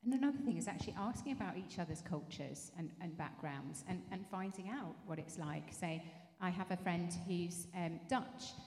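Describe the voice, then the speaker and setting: A woman speaks in a fairly low, mellow voice, explaining things in a measured way. She is answering questions at a church session, and her words ring through an echoey hall. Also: slight background noise. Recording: echoey hall with long reverb.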